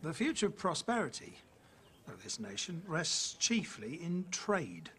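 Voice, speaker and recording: A man's voice: on the high side, huge pitch swings, a little slow, a bit bright, slight background noise, heavily acted. An actor playing an assured, ambitious young-republic statesman in a period film, with formal, precise and assertive delivery. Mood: assured persuasion. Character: assured, ambitious Treasury statesman of revolutionary-era America. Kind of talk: period film drama dialogue.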